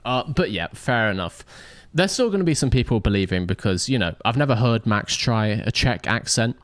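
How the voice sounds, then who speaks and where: A man's voice: medium pitch, lively intonation, moderate pace, no echo. A man hosting a livestream and casually interviewing racers after an event. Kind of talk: casual post-race interview.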